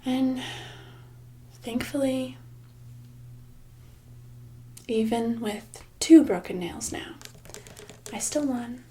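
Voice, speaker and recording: A woman playing a smug, controlling boss in a soft-spoken ASMR roleplay, with condescending, mock-sweet, drawn-out delivery. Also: a little high. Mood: smug, menacing. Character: smug, controlling female boss holding an employee captive.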